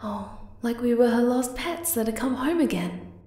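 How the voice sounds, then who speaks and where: A woman voicing a shy, flustered young moth-girl lover in an ASMR roleplay, hesitant, tender and soft-spoken. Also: a little high, lively intonation, moderate pace, roomy sound, close to an everyday voice. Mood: gentle, comforting.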